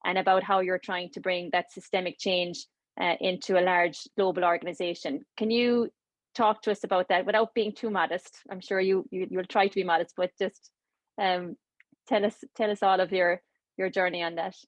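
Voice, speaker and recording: A woman's voice: on the low side, steady tone, moderate pace, no echo. A woman hosting an online panel interview, encouraging and full of fillers and repeated words. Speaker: webinar host.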